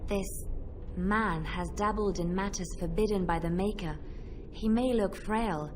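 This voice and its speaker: A woman's voice: medium pitch, unhurried, background noise, close to an everyday voice. An actress playing a wary female companion who speaks in a cautious, warning tone.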